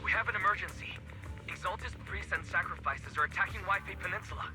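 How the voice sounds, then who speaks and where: A man's voice: high-pitched, fast, pushed and bright. An actor playing an urgent, dutiful male soldier reporting in an anime game, with music behind him.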